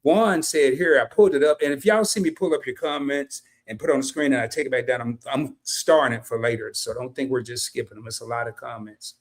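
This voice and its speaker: A man co-hosting a casual live stream, reading out viewer comments and telling lively stories with quick asides. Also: medium pitch. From family from Arkansas and Chicago, lived in California. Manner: animated storyteller who drops into quick asides and reads comments in voices. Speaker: co-host of a live discussion stream.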